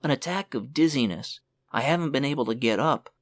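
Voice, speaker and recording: A man voicing a flustered, pleading young clerk in an audiobook, hurried and apologetic. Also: mid-range voice, lively intonation, dry recording, natural voice. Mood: anxious pleading. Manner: hurried, excuse-laden, apologetic. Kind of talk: audiobook character voice.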